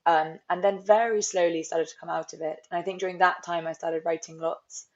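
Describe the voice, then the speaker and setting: A young woman with a London accent talks through her writing life in an unhurried, reflective way, circling back and restarting her thoughts. Her voice sits on the lower side, and the recording is a little dull and lo-fi. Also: steady tone, darkish tone. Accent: London English. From London, England. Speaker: author guest. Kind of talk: reflective interview talk. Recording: slightly dull, lo-fi audio.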